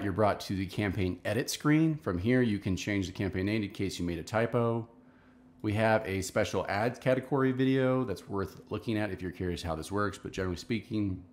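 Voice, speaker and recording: Low-voiced man giving a tutorial. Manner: instructional, step-by-step. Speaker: marketing tutorial host. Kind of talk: casual screen-share tutorial narration. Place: small home office, indoors.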